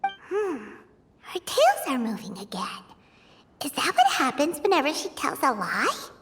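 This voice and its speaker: A woman's voice: sits high, huge pitch swings, measured pace, a bit bright, a little hiss or hum, exaggerated voice. A woman voicing a small, chirpy, curious girl companion in a game, with eager, sing-song questions. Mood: muttering. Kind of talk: game voice acting. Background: game music.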